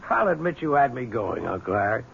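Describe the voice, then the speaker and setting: An actor playing an edgy, suspicious fiancé in a 1970s radio drama, defensive and combative in manner. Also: male voice, mid-range voice, very animated, moderate pace, creaky. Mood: bitter, accusing. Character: edgy, suspicious man engaged to an heiress. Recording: dull, noisy old radio recording.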